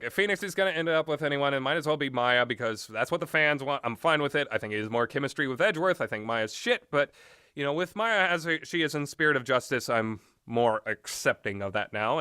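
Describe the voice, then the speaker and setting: A man's voice: mid-range voice, lively intonation, quick pace, pushed and bright, smooth, no room sound, studio quality. A man giving casual, rambling commentary in a blunt, opinionated way, swearing freely as he goes. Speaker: solo commentator on visual novels.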